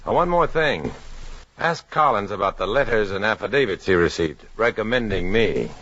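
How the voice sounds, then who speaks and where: Deep-voiced grim undercover man. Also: lively intonation, moderate pace, a bit bright. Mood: urgent, clipped. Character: grim, lonely undercover agent man, terse and hard-boiled. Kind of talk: 1950s radio drama acting, first-person narration. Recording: muffled, noisy 1950s radio recording.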